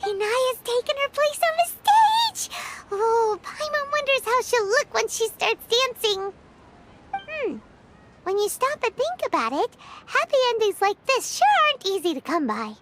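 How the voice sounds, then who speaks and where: A woman voicing a small, chatty, childlike fairy companion in a video game, chirpy and opinionated, with a squeaky voice. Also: sing-song, unhurried, noisy background, exaggerated voice. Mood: excited, musing.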